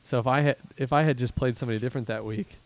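A man's voice: medium pitch, steady tone, racing, low-quality recording. Rambling man hosting a fantasy league podcast. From Pittsburgh. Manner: rambling, self-deprecating, thinks aloud. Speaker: podcast host and league commissioner. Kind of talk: casual podcast chat.

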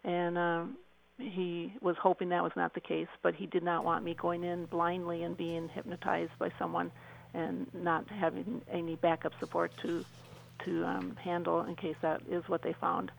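A woman speaking as a radio interview guest, answering conversationally in an earnest, reflective and halting way. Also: on the low side, level tone, creaky, a little hiss or hum, low-quality recording.

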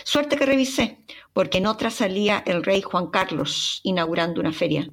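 A young Chilean woman with a Chilean accent and a breathy voice, dramatically telling a personal travel story as a podcast narrator. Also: medium pitch, moderate pace. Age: young adult. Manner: expressive, dramatic retelling with sighs and exclamations.

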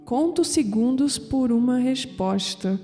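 A woman playing a young, clumsy, curious granddaughter in an audio drama, expressive, with a Brazilian accent. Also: sits high, slow, in a large hall, faint music behind. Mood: tender, reading solemnly. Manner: expressive, musing aloud to herself.

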